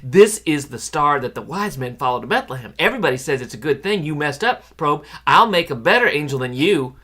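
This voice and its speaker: A man's voice: fairly high pitch, expressive, fairly quick, sharp tone. A man reading the part of a bossy older sister who brags and teases. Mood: smug. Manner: teasing, boastful.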